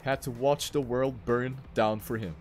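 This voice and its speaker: A man's voice: mid-range voice, steady tone, a little slow, faint music behind. A man commentating live on a racing game, calling the action play by play and repeating phrases for emphasis. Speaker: esports race commentator.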